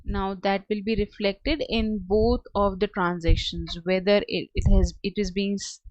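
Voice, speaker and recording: A woman narrating a step-by-step software tutorial as a trainer, with a halting, hesitant delivery. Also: mid-range voice, steady tone, no echo, highs cut off.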